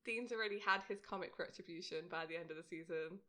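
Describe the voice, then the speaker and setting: A woman's voice: on the low side, fast, bright, forceful tone. A woman chatting informally about television as the co-host of a podcast. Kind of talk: casual TV discussion chat.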